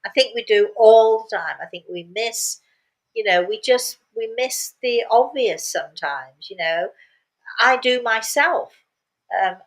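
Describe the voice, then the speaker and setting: A woman's voice: lowish voice, fairly bright tone, rough recording. An older woman speaking as a spiritual medium, telling stories casually and repeating words for emphasis. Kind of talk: casual storytelling talk.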